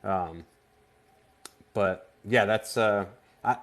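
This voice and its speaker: A man from Vermont, a guest artist on a casual live-stream interview, speaking in a halting way with frequent restarts. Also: lowish voice, fairly bright tone. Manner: halting, stops and restarts often.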